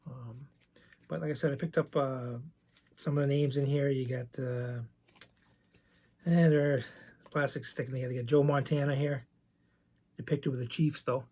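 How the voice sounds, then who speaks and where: A man with a gravelly voice from medication, hosting a casual show-and-tell of his vintage baseball cards. He talks in an easygoing, chatty way and often wanders into side stories. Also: medium pitch, fairly even intonation, moderate pace, long pauses, a little mellow. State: voice roughened by medication. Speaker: vintage card collector and channel host.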